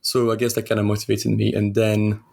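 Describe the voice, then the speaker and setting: A young man from Switzerland, a guest telling his story in a relaxed, hesitant way with many pauses. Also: on the low side, creaky. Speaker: guest concept artist. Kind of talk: casual interview storytelling.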